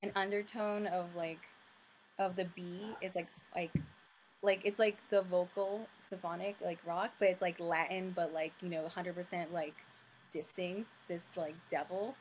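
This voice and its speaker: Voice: medium pitch, fairly even intonation, normal speed, slight background noise, low-quality recording. A player in a tabletop role-playing game, describing a character's actions in a rambling way, full of "like" and "um". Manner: rambling, filler-heavy "like". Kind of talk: casual tabletop play.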